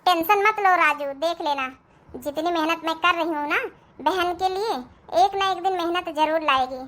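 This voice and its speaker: A performer plays a young city-bred daughter-in-law in a family cartoon. Her voice is extremely high and piercingly bright. She is kind and confident, reassuring everyone as she takes charge. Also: normal speed. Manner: reassuring, take-charge, speaks standard Hindi. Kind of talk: Hindi family cartoon dialogue.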